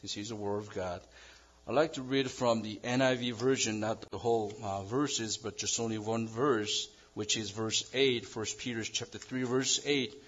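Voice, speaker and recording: A man from South Korea with a Korean accent, preaching a sermon as pastor in an earnest, measured way with halting restarts. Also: fairly low pitch, steady tone, breathy edge. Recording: dull lo-fi sound.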